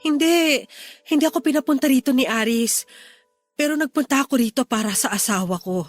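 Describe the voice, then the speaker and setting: A woman's voice: high-pitched, brisk, dry recording. A Filipino woman playing a desperate wife and mother pleading earnestly with her sister-in-law in a radio drama. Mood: anxious pleading. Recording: music under the dialogue.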